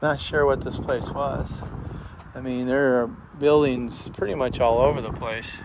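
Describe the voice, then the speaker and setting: A man's voice: medium pitch, fairly even intonation, measured pace. A man filming himself exploring abandoned ruins, talking insistently and defensively to his viewers. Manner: insistent, repetitive, heated when addressing critics. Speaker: urban explorer vlogger. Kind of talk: defensive walking commentary. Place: outdoors at abandoned desert ruins beside a highway. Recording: outdoor road noise clearly audible. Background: passing cars and wind.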